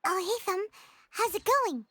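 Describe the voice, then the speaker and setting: A woman voicing a tiny, excitable childlike fairy companion in a video game, chirpy and exclaiming in a squeaky voice. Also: a little slow, full character voice. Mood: curious. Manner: chirpy, exclaiming, speaks of self in third person. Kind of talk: video game character dialogue.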